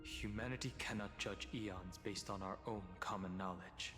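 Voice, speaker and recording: An actor playing a serious, analytical investigator and guide in a video game, speaking in measured exposition. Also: male voice, on the low side, fairly even intonation, big-room sound, music in the background, natural voice. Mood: pensive. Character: grave, analytical male investigator and protective guide. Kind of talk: scripted video game acting.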